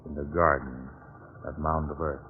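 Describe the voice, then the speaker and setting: An actor playing a calm, deliberate, commanding police detective in a 1940s radio drama. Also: male voice, extremely low pitch. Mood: hushed, wary. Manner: polite, deliberate, authoritative. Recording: dull, lo-fi 1940s radio recording.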